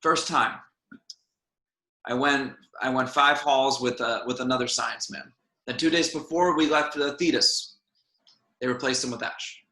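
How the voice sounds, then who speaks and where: A man's voice: medium pitch, fairly even intonation, unhurried, pauses often, sharp tone, roomy sound. A man reading the part of a weary, brusque spaceship captain in an amateur script table read, terse and offhand. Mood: resigned.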